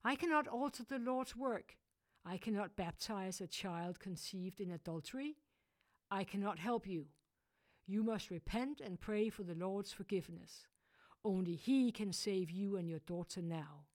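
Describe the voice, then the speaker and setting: A man's voice: high voice, measured pace, no room sound. A woman reading as a stern, condemning church dean. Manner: harsh, scolding, loud. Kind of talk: audiobook character voice.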